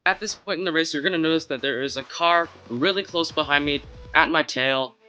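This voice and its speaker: A man's voice: a little high, fairly quick, pushed and bright, clean tone, dull audio. A young man whose first language is Chinese, narrating a racing game video in a chatty, self-mocking way. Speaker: gaming YouTuber. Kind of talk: casual game commentary voice-over.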